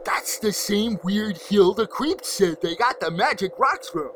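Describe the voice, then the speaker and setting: High-voiced, befuddled slacker guy, played by a man. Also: lively intonation, unhurried, background noise. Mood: defensive. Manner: stammering, slangy, befuddled. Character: bumbling, easily confused slacker young man. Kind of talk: comedic audio drama acting.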